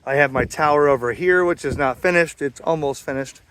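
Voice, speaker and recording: A man's voice: on the high side, a little fast, a bit bright, clean tone. An American man chatting plainly and informally outdoors, switching between casual talk and amateur radio calls. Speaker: radio hobbyist making videos. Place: backyard by a metal shed, outdoors.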